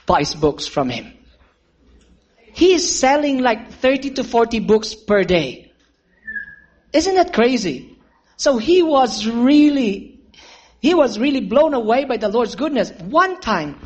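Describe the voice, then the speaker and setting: A man preaching a sermon, telling a story and calling his listeners friends. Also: extremely high pitch. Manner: earnest, addresses listeners as friends, asks for amens.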